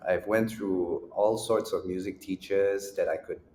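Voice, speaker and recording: A low-voiced man talks things through calmly, setting out his points one by one. His voice rings in a roomy space, with a faint hiss of background noise under it. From Malaysia. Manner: measured and reflective, lays out points in order. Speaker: guest, music school founder. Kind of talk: casual interview conversation.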